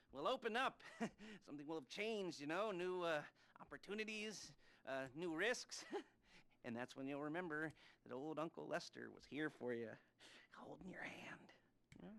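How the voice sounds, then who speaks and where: An actor playing a sardonic middle-aged American heist planner in a video game, rambling and hesitant with awkward pauses. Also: male voice, fairly high pitch, bright, forceful tone, exaggerated voice. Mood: awkward, creepy amusement. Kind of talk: video game character dialogue.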